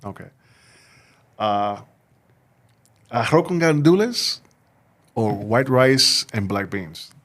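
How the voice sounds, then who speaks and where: A male podcast host with a mid-range voice, relaxed and teasing in conversation. He guides the chat with a light, joking manner in a studio setting. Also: medium pitch, slow, long pauses. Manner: playful, teasing, laughs easily. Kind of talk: casual interview chat.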